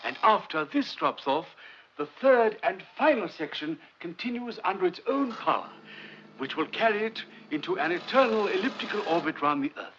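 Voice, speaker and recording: A confident, visionary professor in a 1950s film drama. He lectures formally in a slightly high, animated male voice. The old soundtrack is dull, with faint noise. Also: normal speed. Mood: confident, explaining. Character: confident, visionary professor leading a rocket team.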